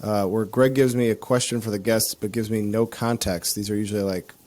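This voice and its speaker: A man hosting a casual podcast interview over a video call, upbeat and quick with short affirmations. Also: on the low side, steady tone, a little mellow. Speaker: podcast host.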